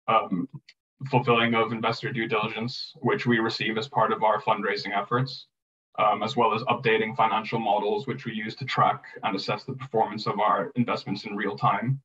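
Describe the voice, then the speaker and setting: A man talks through his work in a low, flat voice, pausing on frequent fillers. He sounds set back from the mic. The sound is dull and lo-fi, as over a video call. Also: recorded from a distance. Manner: hesitant, many fillers. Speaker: panelist, loan management. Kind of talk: prepared talk on a panel.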